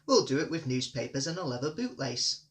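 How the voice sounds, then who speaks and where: A man's voice: mid-range voice, very animated, brisk, breathy edge. A man voicing a practical, matter-of-fact engine driver in a storybook reading.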